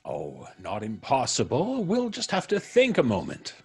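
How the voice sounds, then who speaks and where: A courteous stranger from an old-time radio thriller, with a husky voice that swings widely in pitch. He hums thoughtfully, chooses his words with care and needles with quiet menace. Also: huge pitch swings, full character voice. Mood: musing, needling. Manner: deliberate, musing with frequent hums, needling. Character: polite, probing, quietly menacing male visitor.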